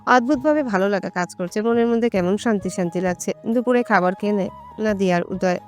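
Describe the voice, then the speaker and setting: A woman tells a romantic story in scripted, dramatic narration. Her voice sits at a middle pitch, with music playing underneath. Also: fast, a little mellow.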